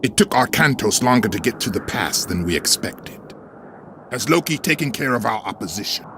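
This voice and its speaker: A man as a gravelly, menacing villain commander. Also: medium pitch, animated, normal speed, close to an everyday voice. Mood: smug menace. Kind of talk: dramatic video game cutscene acting. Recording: noisy ambience behind the voice.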